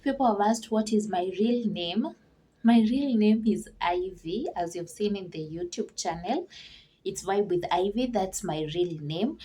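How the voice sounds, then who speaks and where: Mellow-voiced young Kenyan woman vlogging. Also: medium pitch, a little hiss or hum. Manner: earnest, chatty, with fillers and restarts. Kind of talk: casual Q&A vlog.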